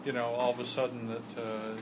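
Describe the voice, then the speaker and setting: A man's voice: mid-range voice, level tone, brisk. Regular guy in a bible study group going on in run-on sentences, noisy background. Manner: talks in loose run-on sentences.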